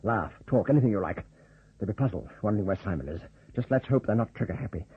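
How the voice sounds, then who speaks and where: Bossy urgent pilot in an old radio play, really rough husky voice, kinda muffled recording. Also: male voice, on the low side, animated, fast talker, no room sound. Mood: commanding, urgent. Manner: barking, clipped orders. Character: tough, commanding male pilot, brusque and urgent. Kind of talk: radio drama acting.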